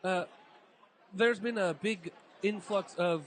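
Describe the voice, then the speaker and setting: A man's voice: sits high, takes it slowly, a little hiss or hum. A man asking hesitant, filler-heavy questions as co-host of a casual podcast interview.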